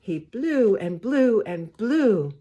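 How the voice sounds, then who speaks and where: A woman reading a picture book aloud to young children, expressive and gently pausing to speak to her listeners. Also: mid-range voice, breathy edge, dull audio. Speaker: storybook reader, school well-being coordinator.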